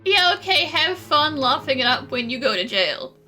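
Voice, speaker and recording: Game role: cheeky young woman, a little high and very lively in pitch, casual sarcasm, music behind. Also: normal speed, full character voice. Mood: mocking. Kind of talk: casual video game voice acting.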